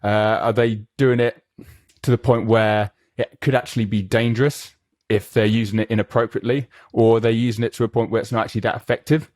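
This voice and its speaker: A man's voice: lowish voice, flat delivery, a little fast, darkish tone, no room sound, studio quality. A man hosting a podcast, introducing his guest and asking eager, chatty questions. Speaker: podcast host. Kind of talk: conversational interview.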